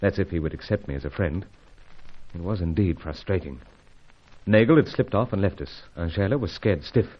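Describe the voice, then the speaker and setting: British spy hero from old radio serial, husky low voice, suave and understated, muffled recording. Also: male voice, lively intonation, moderate pace. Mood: grave narrating. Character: suave, steady English male secret agent hero. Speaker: actor and narrator of a radio serial. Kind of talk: old-time radio adventure drama acting.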